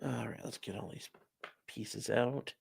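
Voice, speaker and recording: A man's voice: mid-range voice, measured pace, gravelly, slightly rough. A man casually chatting with his livestream audience, joking and answering viewer comments in a sarcastic, offhand way. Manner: joking, sarcastic, talks straight to chat. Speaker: streamer and legal commentator. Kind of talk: casual livestream chat reading viewer comments while building a model.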